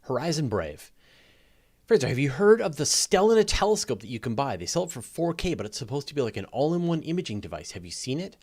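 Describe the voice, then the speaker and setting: A man's voice: mid-range voice, very animated, fast, a little mellow. A man hosting a live question-and-answer session, talking casually and explaining with emphatic stress on key words. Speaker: host of a live space Q&A.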